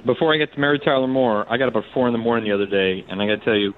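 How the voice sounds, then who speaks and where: A man's voice: mid-range voice, steady tone, very fast. A man phoning a sports radio show to chat casually about sport, over a muffled, noisy phone line.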